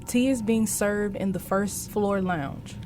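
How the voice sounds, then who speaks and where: A woman's voice: mid-range voice, slow pace, darkish tone, soft background music, natural voice. A woman playing a polite, formal museum attendant in a radio drama. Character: polite female museum attendant announcing closing time.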